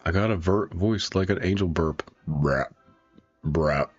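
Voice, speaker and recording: Very deep-voiced man streaming a game. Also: measured pace, dry recording. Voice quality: rough voice. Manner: laid-back, rambling, joking. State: getting over a cold. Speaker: gaming streamer. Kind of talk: casual gameplay commentary. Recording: muffled stream mic with game music.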